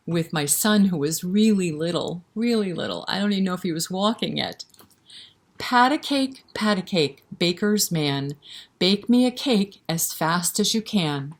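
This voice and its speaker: A woman with a fairly low voice reads for a children's storytime. She speaks straight to young listeners, encouraging them to join in, with a rhythmic feel suited to nursery rhymes. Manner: encouraging, interactive, talking directly to young children. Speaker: children's library storytime reader.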